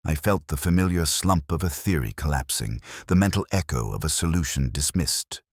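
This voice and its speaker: A man narrating a scripted first-person detective story in a measured, reflective, literary manner. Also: extremely low pitch, slightly rough, no echo, clean studio sound.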